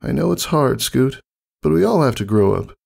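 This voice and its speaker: A man voicing a grown female pegasus flyer, brash yet affectionate and gently encouraging like a big sister, in a storybook reading. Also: mid-range voice, expressive, moderate pace, creaky, voice pushed up. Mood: gentle, reassuring.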